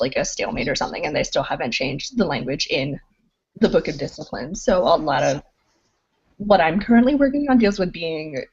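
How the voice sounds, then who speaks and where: A woman's voice: fairly low pitch, slightly rough. A woman writer from the rural South, speaking hesitantly on an online panel and often trailing off. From rural Southern United States. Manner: hesitant, trailing off, soft asides. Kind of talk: casual panel discussion. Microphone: video call. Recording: dull lo-fi online call audio.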